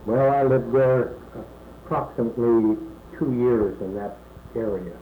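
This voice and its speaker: A man in his sixties from California, recalling his early life in an oral history interview and pausing often with "uh". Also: medium pitch. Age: 60s. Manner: halting, many "uh" pauses. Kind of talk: slow reminiscing answers. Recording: echoey room, muffled phone-like tape with faint hiss.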